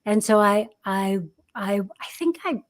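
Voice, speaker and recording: A woman's voice: medium pitch, lively intonation, a little slow. An American woman in her fifties, a career coach for actors, talking candidly and reflectively in a podcast interview, with frequent restarts and fillers. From United States. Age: 50s. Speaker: acting career coach, guest.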